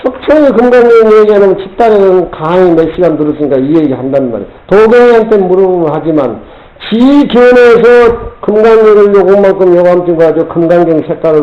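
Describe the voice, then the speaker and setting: An older man teaching a Buddhist scripture to a group of students as a Zen teacher, talking gruffly and constantly prodding them with "eh?". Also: high voice. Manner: gruff, keeps prodding listeners with "eh?". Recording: very echoey, dull lo-fi tape with faint hiss.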